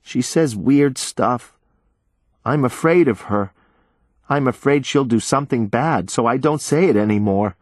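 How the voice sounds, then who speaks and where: A man's voice: mid-range voice, measured pace, no room sound, studio quality, pitched above the performer's own voice, natural voice. A man voicing a frightened small child who pleads in a plaintive way, read as audiobook narration. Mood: scared pleading.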